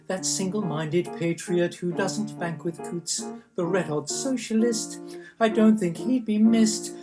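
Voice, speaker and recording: A woman's voice: medium pitch, unhurried, music in the background. A woman performing a comic patter verse in light opera style, with musical accompaniment behind her.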